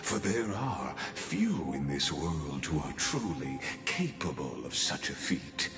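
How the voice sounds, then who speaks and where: A man voicing a calm, menacing, godlike video game villain who speaks in a formal, condescending, deliberate way. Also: extremely low pitch, echoey room, music in the background, exaggerated voice. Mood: persuasive, smug. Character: calm, menacing, godlike male villain offering a sinister bargain.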